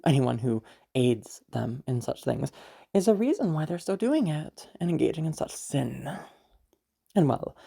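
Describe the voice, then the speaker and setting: Woman playing a gravelly, controlling, sanctimonious mother. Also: low-pitched, big pitch swings, dark tone, heavily acted. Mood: preaching. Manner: condescending, slow deliberate taunting with hums. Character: controlling, sanctimonious, menacing mother of a grown daughter. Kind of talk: amateur audio roleplay drama.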